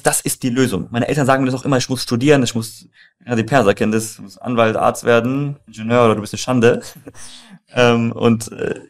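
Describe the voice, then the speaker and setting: A young man from Germany talking casually and emphatically, telling personal stories in a colloquial way and addressing the listener directly. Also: medium pitch. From Germany, born in Iran and raised in Mannheim. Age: young adult. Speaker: co-founder and co-host. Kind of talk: casual conversational storytelling.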